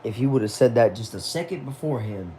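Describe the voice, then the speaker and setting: A man's voice: mid-range voice, expressive, fast, mellow, slight background noise, poor audio. A man of about twenty chatting casually on a livestream at home, reading viewer messages aloud in a laid-back, talkative way, dropping "y'all" and "man". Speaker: livestream host.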